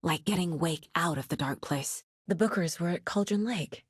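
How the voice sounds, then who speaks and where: A woman's voice: lowish voice, moderate pace, breathy edge, no echo, clean studio sound. An actress voicing a focused, determined female investigator in a video game, troubled but dryly wry. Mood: resolute. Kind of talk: dramatic game voice lines.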